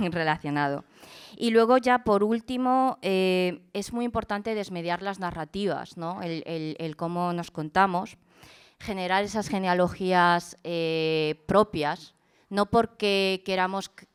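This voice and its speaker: A woman's voice: lowish voice, slow pace, clean tone. A woman speaking earnestly at a panel event, reading a poem and then talking, with many fillers and restarts. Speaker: panel speaker and project founder.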